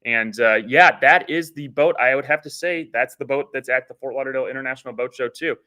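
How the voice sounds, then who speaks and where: A man's voice: medium pitch, fairly quick, sharp tone. A man co-hosting a casual boating broadcast, upbeat and salesy, with lots of "uh" fillers. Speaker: co-host. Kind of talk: casual promotional chat.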